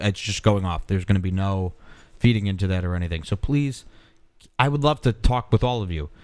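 A man's voice: fairly low pitch, no echo. A man hosting a live radio show from a small studio, bantering sarcastically with co-hosts and callers. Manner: sarcastic, quick to mock callers and chat.

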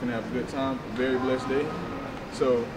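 A man's voice: mid-range voice, little pitch movement, brisk. A man, chatting casually as a vlogger selling clothes, in loose, joking, slangy banter. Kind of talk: casual vlog chat. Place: car at a drive-thru, then an indoor mall food court. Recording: loud background noise.

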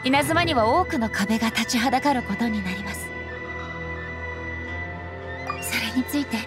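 An actress playing a solemn, composed female ruler in an anime game, speaking formally and earnestly with measured politeness. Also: fairly high pitch, lively intonation, music in the background, lightly acted. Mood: calm resolve. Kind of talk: scripted anime game dialogue.

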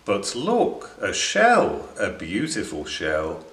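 Echoey man reading storybook, lively pitch. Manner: measured, dramatic delivery for young listeners. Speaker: children's storybook reader. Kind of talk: expressive read-aloud narration of a rhyming picture book. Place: small indoor room beside bookshelves. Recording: roomy indoor echo.

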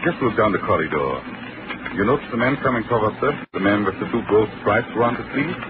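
An actor playing a polite, formal ship's doctor in an old radio drama, speaking in a soothing, courteous way. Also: male voice, fairly low pitch, expressive, a little fast, background noise, lightly acted. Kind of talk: 1940s radio acting. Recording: tinny old radio recording with music.

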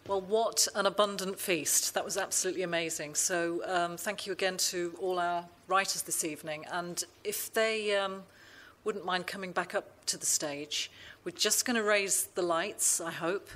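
A woman's voice: on the low side, moderate pace. A woman hosting a literary panel on stage, hesitant and full of ums as she thanks the writers and poses questions. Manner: warm-hearted, hesitant, with many ums. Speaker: host and panel moderator. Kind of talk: moderating a live literary panel. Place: festival stage before a live audience.

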